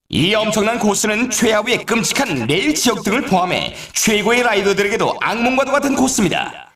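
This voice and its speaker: Animated high-pitched race announcer. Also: male voice, reverberant. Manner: polished, showy announcer delivery. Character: excitable male snowboard race announcer hyping courses. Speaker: sports announcer in a snowboard racing video game. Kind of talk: scripted game commentary, course introductions.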